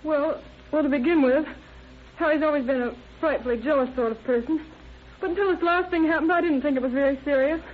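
A woman's voice: high-pitched, fairly quick, natural voice. An actress playing a nervous, frightened married woman in an old radio drama, hesitant, flustered and pleading. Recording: tinny, noisy old radio recording.

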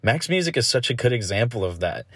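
A man's voice: mid-range voice, sing-song, fast talker, a bit bright, husky, dry recording, clean studio sound. A man from South Carolina reading a heartfelt, reflective listener message to a podcast.

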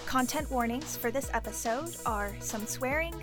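A woman reads a scripted episode introduction as announcer. Her voice is somewhat high, and music plays clearly behind her. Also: normal speed.

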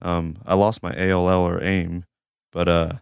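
A podcast guest, a man from Georgia, whose low voice is hoarse but still smooth and resonant. He talks hesitantly, filling gaps with ums. The sound is dry and close. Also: little pitch movement, normal speed, no room sound. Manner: hesitant, filler-heavy. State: hoarse, losing his voice. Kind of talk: casual chat. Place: studio.

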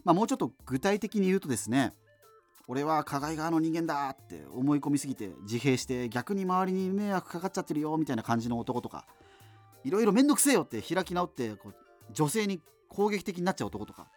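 Radio drama host played by a man: higher-pitched, very lively delivery, digressive, apologetic, self-mocking subculture obsessive. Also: fast talker, faint music behind. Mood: wry, apologetic. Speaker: radio show host answering listener letters. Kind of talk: rambling radio talk monologue in a radio drama.

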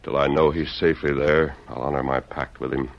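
An actor playing a brave, stoic hero posing as a Mexican revolutionary, speaking formally in an old-time radio western. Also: male voice, extremely low pitch, steady tone, fairly quick, gravelly. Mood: grave, troubled. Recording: muffled, hissy old radio recording.

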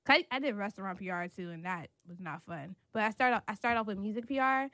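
A young woman from North Carolina, raised in Washington DC, chats loosely as a guest on a talk show. Her voice carries a gravelly fry and sits at a middling pitch, recorded dry and close. She rambles, filling every pause with "like". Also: no echo. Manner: relaxed, rambling with many "like" fillers. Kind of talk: casual interview chat.

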